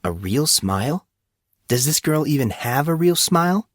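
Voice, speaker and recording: A man's voice: on the high side, lively intonation, moderate pace, no echo, clean studio sound, pitched above the performer's own voice. Man voicing a teasing, unsure teenage schoolboy. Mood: puzzled. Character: self-doubting, teasing fourteen-year-old schoolboy. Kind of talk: audiobook character dialogue.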